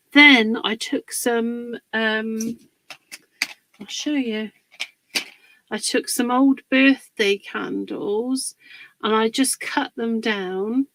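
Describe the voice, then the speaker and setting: A woman hosting a casual live craft demonstration, a little husky from a cold, chatting and greeting viewers by name. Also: on the high side, a bit bright, no room sound, rough recording. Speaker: craft livestream host.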